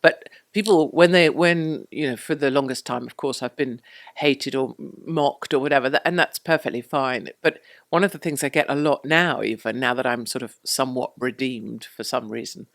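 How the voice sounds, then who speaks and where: A woman with a low voice, a podcast guest chatting in a bawdy, animated way and laughing often. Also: normal speed. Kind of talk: casual chat.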